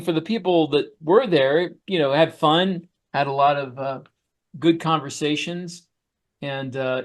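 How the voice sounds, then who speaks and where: Male co-host, slightly high voice, casual and halting, pausing to find words. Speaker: podcast co-host and author. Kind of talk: casual conversational recollection.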